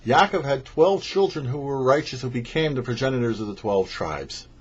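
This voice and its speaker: A man's voice: mid-range voice, animated, normal speed, breathy edge, lo-fi sound. A man, a rabbi hosting a show, delivers prepared religious commentary in a didactic way, posing questions and then explaining.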